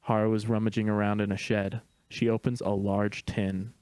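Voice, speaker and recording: A man's voice: lowish voice, flat delivery, moderate pace, darkish tone, smooth, dry recording. A man narrating a screenplay, reading the stage directions in a matter-of-fact way. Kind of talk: scripted narration.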